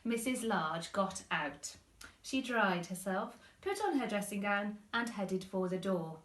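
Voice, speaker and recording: A woman reading a children's story expressively, with deliberate phrasing, in a roomy-sounding recording. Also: mid-range voice, expressive, fairly bright tone. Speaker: primary school teacher reading stories.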